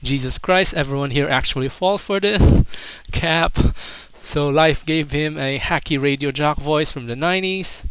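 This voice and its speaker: Young man, clear higher-pitched voice, mocking commentary. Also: unhurried, a bit bright, no room sound. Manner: mocking, incredulous, slangy asides. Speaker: video commentator. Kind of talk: casual commentary, reading out internet comments.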